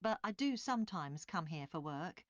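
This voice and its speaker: A woman's voice: lowish voice, expressive, normal speed, no echo, natural voice. A woman playing an obliging, matter-of-fact train passenger answering questions in a scripted listening-test dialogue. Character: woman journalist, obliging but mildly critical train passenger.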